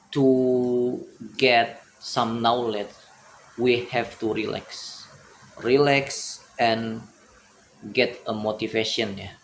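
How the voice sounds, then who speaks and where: A young man with an Indonesian accent talks through study questions at an unhurried, deliberate pace, his voice sitting at an everyday middle pitch. He stumbles and repeats words as he thinks aloud. A faint hiss of background noise sits beneath him. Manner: halting, repeats and restarts words. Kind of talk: reading answers aloud and adding own comments.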